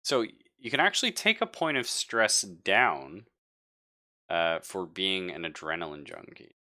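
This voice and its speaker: A man's voice: low voice, big pitch swings, measured pace, pushed and bright, no echo, clean studio sound. A man narrating a tabletop roleplaying game as game master, casual and joking, with some swearing. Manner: casual, profane, joking asides.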